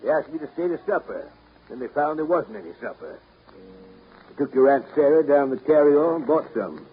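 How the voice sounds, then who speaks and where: A blustery old grandfather, played by a man in a 1930s radio play, grumbling about supporting his family. His delivery is emphatic and very animated in pitch, on a tinny, narrow old broadcast recording with music behind. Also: moderate pace, close to an everyday voice. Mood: grumbling reminiscence. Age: older. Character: gruff old grandfather, grumbling, practical-minded family provider. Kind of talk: 1930s radio drama acting.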